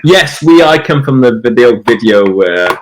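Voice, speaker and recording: A man from the border between Wales and England, speaking in halting, brief replies as an interviewed author. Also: mid-range voice, big pitch swings, background noise. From Welsh-English borderland. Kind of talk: casual interview talk.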